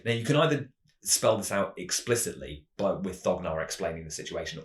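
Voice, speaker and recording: A man hosting a tabletop roleplaying channel, explaining ideas in a measured, storytelling way. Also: on the low side. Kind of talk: semi-scripted explanatory narration. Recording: roomy, echoing voice recording.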